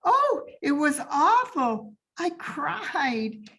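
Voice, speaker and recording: Lady playing an eager flustered house sitter, highish voice, takes her time, radio comedy. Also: big pitch swings, very slow, fairly bright tone, full character voice. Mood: flustered, apologetic. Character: eager, flustered woman house sitter breaking bad news.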